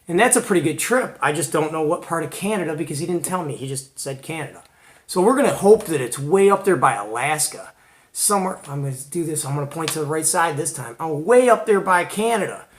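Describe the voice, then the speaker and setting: A man's voice: a little high, expressive, brisk. A man hosting a hobby show, giving casual, chatty show-and-tell commentary in informal, slangy language. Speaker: host of a CB radio hobby show.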